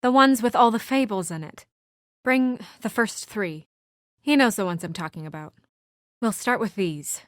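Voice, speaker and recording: An actress playing a brisk, exasperated, dutiful young professional woman in a video game, speaking in a crisp, earnest, businesslike way. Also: mid-range voice, lively intonation, no room sound, studio quality, close to an everyday voice. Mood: brisk, focused.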